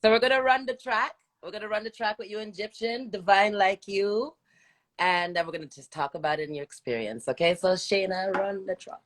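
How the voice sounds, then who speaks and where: A woman's voice: mid-range voice, a little fast. A woman hosting a casual live music interview, chatting informally and repeating phrases for emphasis. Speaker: radio show host interviewing a musician.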